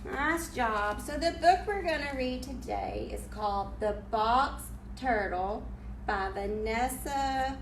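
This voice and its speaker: A woman with a fairly high voice leads storytime for young children. She speaks slowly in simple, encouraging phrases, teaching and reading aloud. The recording is roomy, with the mic at a distance and faint noise.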